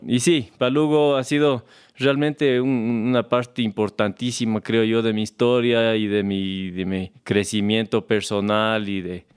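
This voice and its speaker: A man from Ecuador with an Ecuadorian Spanish accent, a farmer talking as a guest in a relaxed, unhurried way, often restarting and repeating words. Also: on the low side, smooth. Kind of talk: relaxed conversational storytelling. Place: farm, recorded on location.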